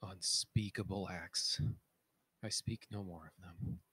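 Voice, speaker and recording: A man's voice: deep voice, fairly even intonation, slow pace, pushed and bright, breathy edge, dry recording, exaggerated voice. A man playing an anguished, self-loathing blinded king in a theatrical, declamatory reading of Greek tragedy. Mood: desperate pleading. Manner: declamatory, tormented, dramatic.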